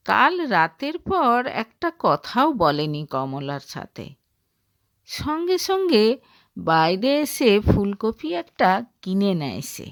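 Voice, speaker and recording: Slow, expressive woman storyteller. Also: on the high side, dry recording, clean studio sound. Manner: dramatic, measured. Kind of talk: expressive scripted story narration.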